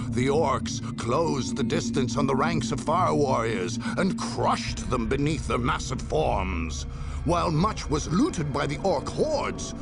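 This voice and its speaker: A man playing a grave storyteller of a far-future war, narrating a video game with theatrical emphasis and a gravelly, raspy voice. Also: medium pitch. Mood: grave, ominous. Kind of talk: dramatic scripted game narration. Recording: dry studio sound with faint music. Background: faint music.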